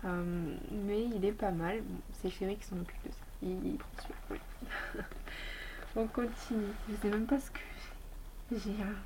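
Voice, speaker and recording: A woman's voice: mid-range voice, fairly even intonation, moderate pace, noisy background. A woman beauty vlogger with a slight head cold, chatting casually and rambling through a review of her toiletries. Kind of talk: casual product review chat.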